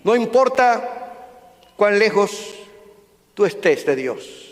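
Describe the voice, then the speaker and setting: A man's voice: high-pitched, sing-song, takes it slowly. A male pastor, preaching a sermon with rising fervor and calling out to the congregation. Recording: long hall reverb.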